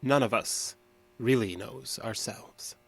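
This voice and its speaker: A man's voice: mid-range voice, slow pace, creaky, fairly bright tone, heavily acted. An actor playing a stubborn, guarded diner manager in an audio drama, terse and insistent in his answers. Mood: guarded, defensive. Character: guarded, stubborn male diner assistant manager under questioning.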